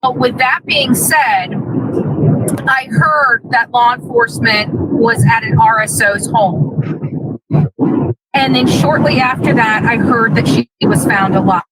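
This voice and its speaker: A woman giving a slow, hedging update on breaking news. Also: a little high, noisy background.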